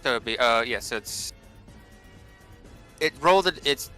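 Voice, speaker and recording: A man in casual tabletop game talk, explaining rules haltingly and often repeating his words. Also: mid-range voice, lively intonation, pushed and bright, smooth, soft background music.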